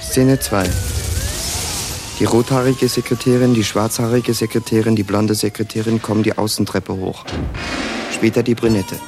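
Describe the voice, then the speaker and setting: A man's voice: on the low side, level tone, moderate pace, music in the background. A man narrating a radio play, reading stage directions in a terse, matter-of-fact way.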